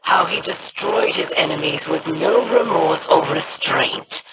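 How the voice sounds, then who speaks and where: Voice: mid-range voice, a little slow, heavy background noise, lightly acted. An actor voicing a scornful alien warlord. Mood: menacing. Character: menacing alien pirate warlord, proud and scornful.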